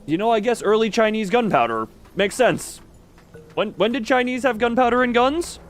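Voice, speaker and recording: A man's voice: high-pitched, expressive, normal speed, soft background music. A man giving casual gameplay commentary to a live chat, rambling and thinking aloud as he plays. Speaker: video game streamer.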